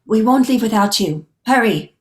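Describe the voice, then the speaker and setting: A woman acting a calm, decisive crew leader in a screenplay table read, urgent and commanding. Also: a little high, moderate pace, close to an everyday voice. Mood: urgent resolve.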